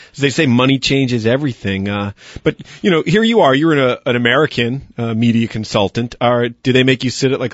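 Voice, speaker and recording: Wry, fast-talking male radio host. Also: medium pitch, no echo. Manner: wry, opinionated. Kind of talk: radio talk show. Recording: dull lo-fi radio broadcast.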